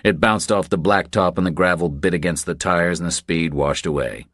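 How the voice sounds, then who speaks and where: Deep male audiobook narrator. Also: normal speed, fairly bright tone, no echo, clean studio sound. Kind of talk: scripted thriller narration.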